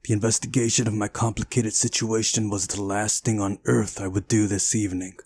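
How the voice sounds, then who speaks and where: A man's voice: on the low side, little pitch movement, a little fast, darkish tone, breathy edge. A man hosting a visual novel playthrough, reading the game's narration aloud and adding casual commentary.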